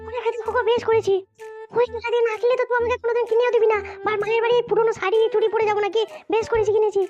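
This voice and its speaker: A woman voicing a foul-mouthed, nagging young wife in a comedy cartoon, with a West Bengal accent and a pitched-up voice. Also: level tone, rapid-fire. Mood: defiant. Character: shrill, quarrelsome young wife, defiant and aggrieved. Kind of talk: amateur cartoon comedy squabbling. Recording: dull lo-fi sound, music behind.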